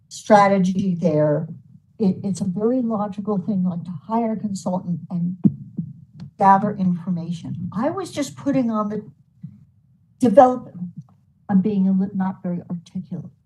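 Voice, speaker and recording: A woman's voice: mid-range voice, mellow, a little hiss or hum, poor audio. A woman county commissioner in a public meeting, hesitant and often correcting herself mid-sentence. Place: meeting room.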